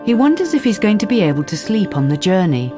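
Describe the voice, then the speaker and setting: An actress as the sober narrator of a radio crime drama, reading in a measured, wry way. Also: female voice, mid-range voice, sing-song, close to an everyday voice. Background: music.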